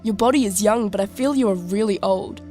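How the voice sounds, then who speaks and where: A woman's voice: mid-range voice, brisk, warm tone, faint music behind, natural voice. A young woman playing a worldly, teasing, sarcastic teenage girl in an audio drama. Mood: exasperated, teasing. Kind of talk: scripted audio drama dialogue.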